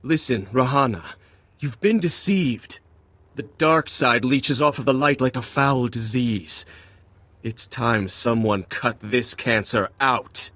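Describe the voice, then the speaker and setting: Game role: middle-aged warrior monk, stern, earnest and formal, medium pitch, close dry sound. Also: male voice, slow pace, fairly bright tone, no echo. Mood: angry, accusing. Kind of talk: dramatic video game cutscene acting.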